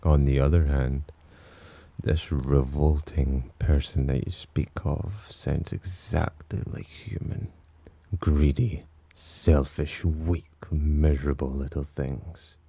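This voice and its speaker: Casting: male actor as condescending demon for ASMR roleplay, extremely low and husky, menacing yet teasing. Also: steady tone, takes it slowly, dry recording, full character voice. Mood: disdainful, brooding. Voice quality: very deep, dark, husky. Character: haughty, teasing male demon, disdainful of humans, possessively protective. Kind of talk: ASMR roleplay, intimate scripted monologue.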